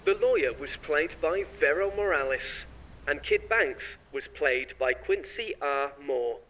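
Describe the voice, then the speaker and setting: A man's voice: on the high side, expressive, a little slow, a bit bright, far from the mic, dull audio, slight background noise. A man reading scripted credits as a podcast announcer.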